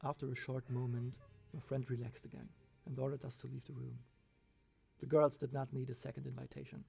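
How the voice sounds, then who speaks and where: A man's voice: mid-range voice, flat delivery, a little fast, gravelly, a little mellow, husky. A man reading a scripted first-person horror story as its narrator. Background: background music.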